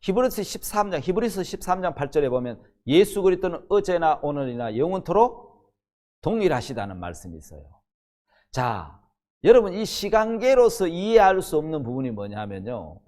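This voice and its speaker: A man's voice: on the high side, sing-song, moderate pace, darkish tone. A man in his sixties, a pastor teaching the Bible in a sermon, emphatic and often posing questions that he answers himself. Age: 60s.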